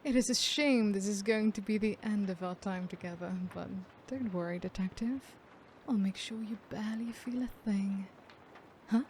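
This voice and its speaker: A woman playing a sadistic, smug female serial killer in an ASMR horror roleplay, theatrical and unhurried, often dropping into breathy whispers. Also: mid-range voice, darkish tone, slight background noise, lightly acted. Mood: smug, taunting.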